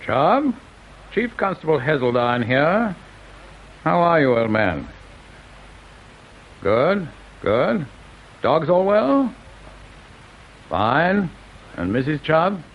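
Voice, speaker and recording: An actor playing a breezy, chummy chief constable, brisk on the telephone in a radio drama. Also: male voice, fairly high pitch, sing-song, slight background noise, heavily acted. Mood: hearty, brisk. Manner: brisk, chummy, one side of telephone call. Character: hearty, breezy male chief constable, old-boy officer type. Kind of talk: British radio drama acting.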